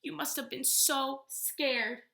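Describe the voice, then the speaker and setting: A woman's voice: high-pitched, fairly even intonation, takes it slowly, gravelly, bright, forceful tone, voice pushed up, full character voice. Woman playing an eager little girl. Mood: tender. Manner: eager, questioning. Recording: echoey room.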